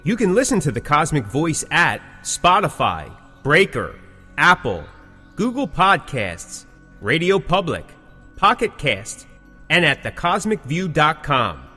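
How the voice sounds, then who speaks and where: Emphatic, high-pitched male podcast co-host. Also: wildly swooping pitch, unhurried, sharp tone, breathy edge, soft background music. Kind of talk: casual talk about the music business, with scripted sign-offs.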